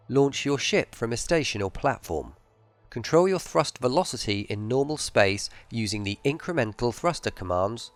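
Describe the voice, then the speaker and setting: Lively-pitched male tutorial narrator, faint music. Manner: explanatory, methodical. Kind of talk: scripted instructional narration for a space game.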